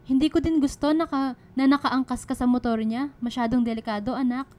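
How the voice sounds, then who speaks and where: A woman's voice: sits high, steady tone, fairly quick, warm tone, lightly acted. A Filipino woman playing a worried, caring middle-aged single mother in a radio drama. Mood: worried scolding. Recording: music behind the dialogue.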